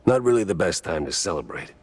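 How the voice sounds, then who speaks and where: An actor playing a wisecracking, world-weary mouse private eye in a dry, sardonic 1930s noir style. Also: male voice, low-pitched, big pitch swings, fast, a little mellow. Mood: placating. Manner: dry, sardonic, hesitant asides. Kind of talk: 1930s noir detective acting.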